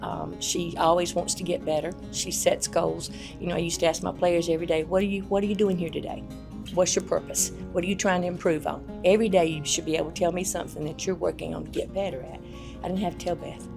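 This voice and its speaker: A woman's voice: lowish voice, fairly even intonation, quick pace, gravelly, music in the background. A woman who coached basketball, speaking in an interview with emphatic, repeated points. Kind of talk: interview recollection.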